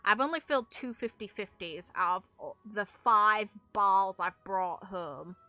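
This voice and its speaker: A woman's voice: medium pitch, a little slow, sharp tone, no echo, faint music behind. A young adult woman who streams games, chatting casually and informally with viewers in a rambling way. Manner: informal, rambling, mild swearing. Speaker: game streamer. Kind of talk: casual livestream chat with viewers.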